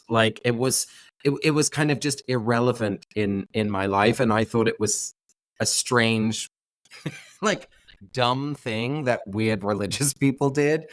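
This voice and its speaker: Guy, grew up speaking dutch, friendly podcast guest, thinks out loud. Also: mid-range voice, dry recording. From England. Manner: thoughtful, self-correcting, friendly. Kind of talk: conversational interview.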